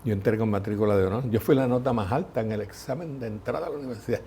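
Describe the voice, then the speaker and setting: An older man with a Puerto Rican accent, a guest telling lively stories in an interview. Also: mid-range voice, racing, slight background noise. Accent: Puerto Rican Spanish. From Puerto Rico. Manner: lively anecdotal storyteller, mimics voices in quotes. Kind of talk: storytelling interview.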